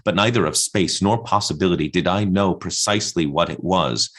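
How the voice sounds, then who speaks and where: A defiant poet-madman rails against God in a deep voice, as performed by a man. The delivery is theatrical radio drama, declamatory and weighted, each phrase placed with emphasis. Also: fairly quick, a bit bright, a little hiss or hum. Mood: insistent, philosophical. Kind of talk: theatrical radio play recitation.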